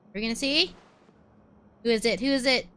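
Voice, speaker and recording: A woman's voice: fairly high pitch, a little fast, pushed and bright, smooth. A woman streaming a game with casual, rambling, reactive live commentary.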